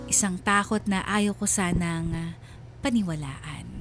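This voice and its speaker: An actress playing a troubled, pensive wife who narrates her letter in a radio drama, measured and sorrowful, with a Filipino accent. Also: fairly low pitch. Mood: wistful, troubled. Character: wistful, uneasy wife narrating her letter about family abroad. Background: music.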